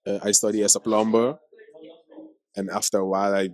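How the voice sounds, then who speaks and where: Halting low-voiced man with a Surinamese Dutch accent. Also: little pitch movement, dry recording. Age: 30s. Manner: halting, restarts sentences, playful. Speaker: vlogger co-host. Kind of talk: casual chat.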